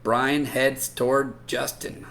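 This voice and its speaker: A man reading a picture book aloud to children in an expressive, animated way with drawn-out emphasis. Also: mid-range voice, gravelly, a little hiss or hum. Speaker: children's read-aloud storyteller.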